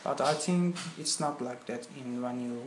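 A man's voice: medium pitch, moderate pace. Young turkish guy speaking english as a second language, searching for words, echoey room with some faint hum. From Turkey. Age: young adult. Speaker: interviewee. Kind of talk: casual interview answers.

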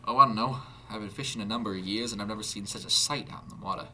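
A man's voice: fairly low pitch, rapid-fire, pushed and bright, slight background noise, rough recording. A man voicing a nervous fisherman who sounds uneasy and wondering in a narrated story.

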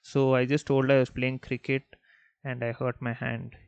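A young man from Bangalore whose first language is Malayalam, hosting a podcast and telling childhood stories in a relaxed, rambling way full of "like" and "you know". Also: mid-range voice, moderate pace, vocal fry, highs cut off, heavy background noise. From Bangalore, India. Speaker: podcast host. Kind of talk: casual storytelling monologue.

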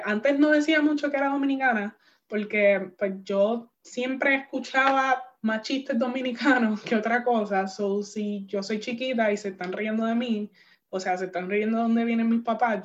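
A woman's voice: medium pitch, muffled recording. A young woman guest telling personal stories candidly, mixing English words into her Spanish with many fillers. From United States. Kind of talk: personal storytelling in an interview.

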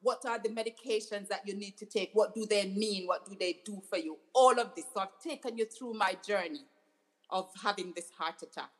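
A woman's voice: mid-range voice, a little fast. A middle-aged woman from Jamaica, a doctor and author, speaking earnestly as an interview guest, often restarting phrases. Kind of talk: interview conversation.

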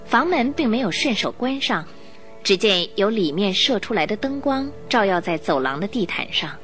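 A woman narrating a radio drama in an expressive, scripted storytelling style. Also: mid-range voice.

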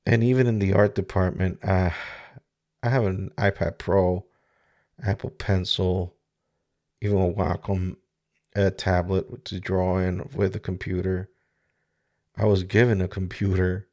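A man in his forties from the Dominican Republic reflects aloud on his own podcast, speaking into a good studio microphone. His voice sits deep and flat, and it drags with gravel as he moves slowly through halting, searching thoughts. He pauses and restarts often. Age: 40s. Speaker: podcast host. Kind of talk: reflective solo podcast monologue. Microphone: studio microphone with mixer.

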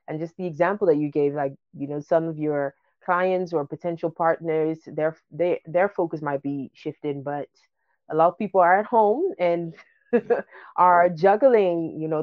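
A woman's voice: low voice, fairly even intonation, moderate pace, dry recording, narrow, tinny audio. A woman hosting a casual interview, encouraging and rambling, with many fillers and restarts. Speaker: interview host.

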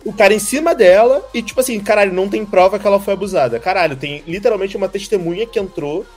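A man's voice: high voice, quick pace, darkish tone, faint music behind. Indignant Brazilian man ranting. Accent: Brazilian Portuguese. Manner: indignant, swears freely, emphatic rants. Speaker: podcast co-host. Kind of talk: casual chat.

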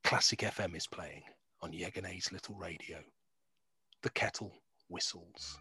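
A male narrator reads scene descriptions slowly and deliberately. His voice is husky yet bright and forceful. It sets each scene plainly, line by line. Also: deep voice. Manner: deliberate, scene-setting delivery. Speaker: narrator reading stage directions. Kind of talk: scripted narration.

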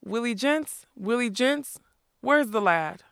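A blustering outlaw captain, played by a storybook reader, full of grand boasts. He declaims theatrically in a forceful, creaky voice with crisp words. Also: mid-range voice, unhurried, dry recording, clean studio sound. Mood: proud, eager.

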